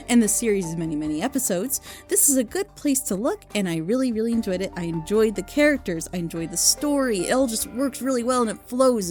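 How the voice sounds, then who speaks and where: A woman's voice: mid-range voice, darkish tone. A woman giving casual, unscripted recommendations in an informal, gushing, rambling way, as a reviewer of audio dramas.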